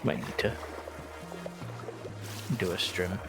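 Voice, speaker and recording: A man chatting casually as a guest on a game stream, a bit hesitant, with trailing sentences and small interjections. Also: slow pace. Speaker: guest streamer helping along. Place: remote voice call. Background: game music.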